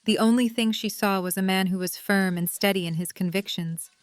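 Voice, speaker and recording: A woman's voice: mid-range voice, steady tone, a little fast, no echo. Woman narrator, dry close sound. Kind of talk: scripted story narration.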